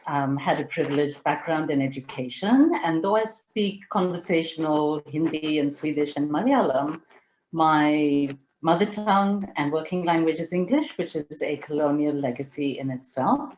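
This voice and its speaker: A middle-aged woman who grew up in India, presenting an online webinar over a video call in a measured, step-by-step way. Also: sits low, lively intonation, a little mellow, slight background noise, rough recording.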